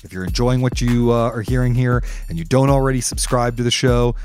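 A man's voice: mid-range voice, fairly even intonation, fairly quick, darkish tone, smooth. A man hosting a podcast, making a casual, self-effacing appeal to listeners. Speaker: podcast host.

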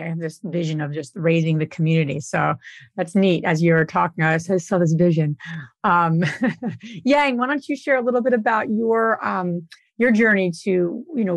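A woman's voice: fairly low pitch, creaky, no echo. A woman hosting a podcast, chatting casually and handing questions to her guests. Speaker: podcast host. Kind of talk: casual interview chat.

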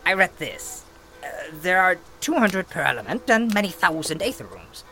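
An actress playing a terse, guarded outsider from an isolated people in a fantasy audio drama, speaking in halting, clipped phrases. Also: female voice, fairly low pitch, big pitch swings, pushed and bright, heavily acted, low-quality recording. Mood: thoughtful, explaining. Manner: halting, clipped sentences with pauses and murmurs.

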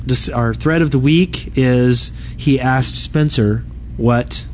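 A man's voice: mid-range voice, slow. A man hosting a podcast in casual chat on an indoor convention floor. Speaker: podcast co-host. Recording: background noise of a busy convention floor. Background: convention ambience and noise.

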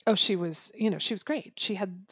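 A woman's voice: medium pitch, fairly quick, a bit bright, breathy edge, no echo, clean studio sound. A woman in a casual podcast discussion, talking in a chatty, informal way as a book reviewer.

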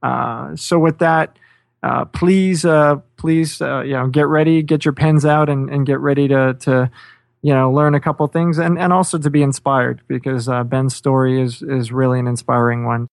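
A man's voice: mid-range voice, fairly even intonation, normal speed, creaky, dry recording, clean studio sound. A man hosting a podcast, talking energetically with lots of fillers and repeated words. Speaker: podcast host.

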